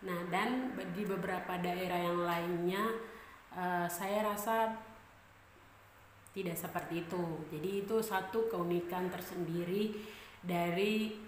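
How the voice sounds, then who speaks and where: A woman's voice: fairly low pitch, fairly even intonation, takes it slowly. Papuan woman vlogging in an echoey room. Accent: Papuan Indonesian. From Papua, Indonesia. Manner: chatty, many fillers and repeated phrases. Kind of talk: casual vlog talk to camera. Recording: echoey room with clear background noise.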